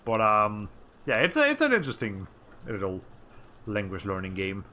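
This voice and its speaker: Male streamer, bright tone, casual rambling game commentary with dry asides. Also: on the low side, wildly swooping pitch, normal speed. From Latin America. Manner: rambling, self-correcting, with wry asides. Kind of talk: casual chat while playing a game.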